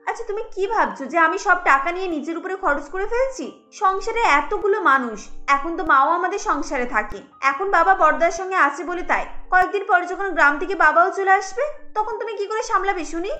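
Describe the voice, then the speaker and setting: A very high, sharp voice of an irritable cartoon housewife played by a woman. She complains and scolds like a harried mother defending herself over household troubles. Music plays faintly behind her. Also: expressive, a little fast, heavily acted. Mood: defensive. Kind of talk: cartoon voice acting.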